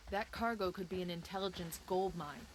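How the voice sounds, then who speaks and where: An actor playing a composed, wry special-operations soldier briefing a team in a video game. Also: male voice, high-pitched, normal speed, slight background noise, natural voice. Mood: businesslike. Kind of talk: video game military dialogue.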